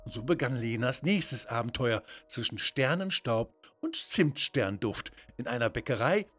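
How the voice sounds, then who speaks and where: A man's voice: medium pitch, expressive, moderate pace, fairly bright tone, soft background music. A man narrating a scripted children's story in a dramatic, wonder-filled way.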